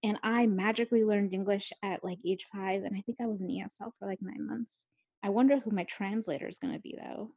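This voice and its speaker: Breathy woman, rambling and candid. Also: medium pitch, steady tone, fairly quick, mellow. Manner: rambling, many "like" fillers, laughing asides. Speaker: author. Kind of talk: candid conversational talk.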